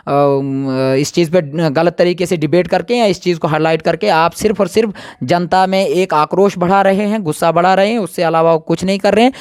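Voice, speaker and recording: Man, high pitch, dry sound, emphatic, repetitive, unscripted opinion interview. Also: darkish tone, no room sound.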